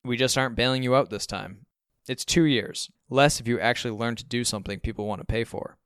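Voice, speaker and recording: A man voicing an unemotional, logical businessman father in an audiobook, calm, dry and matter-of-fact. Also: medium pitch, fairly quick, smooth, dry recording, natural voice. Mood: firm. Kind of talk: audiobook character dialogue.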